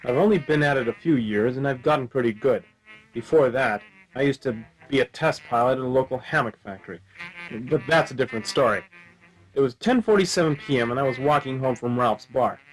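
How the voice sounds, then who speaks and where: A man performs a hard-boiled private detective from a 1940s radio play. He is wry and deadpan, cracking wise in a mid-pitched male voice as he tells his story. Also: brisk, soft background music, natural voice, low-quality recording.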